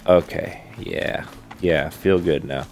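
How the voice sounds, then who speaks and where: A man with a low, gravelly voice hosts a casual let's play. He talks slowly and loosely, thinking aloud, over faint game music. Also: a little mellow. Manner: laid-back, thinking aloud. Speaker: gaming host.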